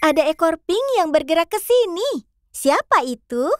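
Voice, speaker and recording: A woman's voice: very high voice, big pitch swings, normal speed, background noise. A woman narrating a children's cartoon in a sing-song manner, speaking to young viewers.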